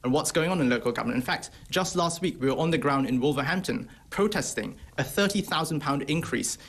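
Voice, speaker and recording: A young man in his twenties speaks on a news interview over a video call from an office. He delivers his talking points quickly and assertively. His voice has a slightly husky edge. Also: fairly high pitch, lively intonation, a bit bright. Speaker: think-tank researcher. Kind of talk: remote interview commentary. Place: office room.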